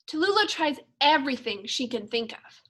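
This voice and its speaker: A woman teacher reading a storybook aloud for young children, expressively and in measured phrases. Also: high voice, very animated, fairly bright tone. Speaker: second grade teacher.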